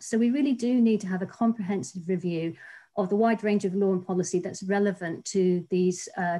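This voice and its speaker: A woman's voice: fairly low pitch, mellow, poor audio. Earnest woman speaking on a video call. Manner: measured, earnest, frequent restarts and fillers. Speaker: legal academic and author. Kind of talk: panel discussion remarks. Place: home office, small room indoors. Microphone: laptop webcam video call.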